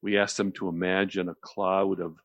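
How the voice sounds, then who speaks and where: A deep-voiced man talks on the radio in a close, dry sound. He explains things conversationally as a host would, rambling a little and often repeating a phrase before moving on. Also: flat delivery, normal speed, dry recording, muffled recording. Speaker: radio show host explaining relaxation technique.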